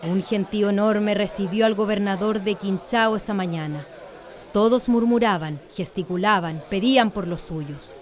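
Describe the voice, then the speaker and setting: A woman's voice: mid-range voice, moderate pace, a little mellow. A woman with a Chilean accent reading scripted narration for a radio drama.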